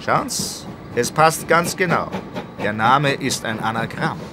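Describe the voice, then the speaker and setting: A man's voice: mid-range voice, lively intonation, slow pace, noisy background. A man with a Viennese accent playing a friendly, speculative doctor in a German audio drama, explaining colloquially. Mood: eager, speculative.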